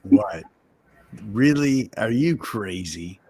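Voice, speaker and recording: A man with a Massachusetts accent talks slowly and unhurriedly while hosting a group voice chat. He teases the others and laughs easily. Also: on the low side, expressive, warm tone, breathy edge, no echo. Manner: slow, teasing, laughs often.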